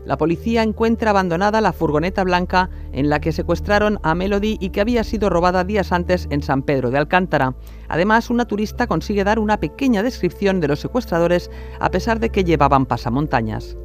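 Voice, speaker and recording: A man's voice: sits high, expressive, a little fast, faint music behind. A man from reading scripted true-crime documentary narration.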